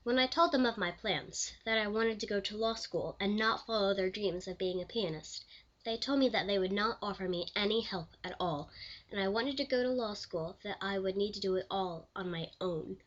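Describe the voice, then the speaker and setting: A woman playing an elderly, proud pioneering lawyer and commissioner in a scripted interview, speaking formally and deliberately. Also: medium pitch, dull audio, close to an everyday voice. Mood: reflective, proud. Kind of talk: scripted mock interview, reenacted historical figure.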